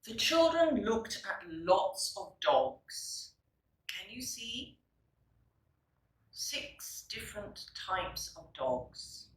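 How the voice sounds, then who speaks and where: A woman teacher reads a children's story expressively, pausing to explain and address young listeners in a deliberate, instructive way. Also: medium pitch, very animated. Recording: roomy echo, distant microphone.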